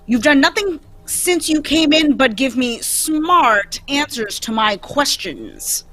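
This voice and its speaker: Blunt, dogged detective read by a woman; lively, dry close mic. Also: high voice, takes it slowly, dry recording, soft background music, exaggerated voice. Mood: stern. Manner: firm, questioning. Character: tough male police detective, blunt and dogged. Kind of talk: live old-time radio table read.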